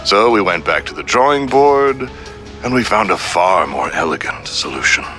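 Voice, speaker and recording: Deep, forceful, suave crime boss. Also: male voice, normal speed, faint music behind, full character voice. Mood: grand, sinister. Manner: formal, grandiose, calmly threatening. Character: suave, menacing male crime syndicate boss, coldly polite. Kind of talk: scripted villain monologue in a video game.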